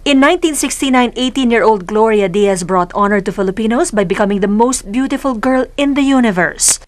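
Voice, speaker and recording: A woman narrating a scripted news feature in a smooth, formal delivery. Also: on the high side, a little fast.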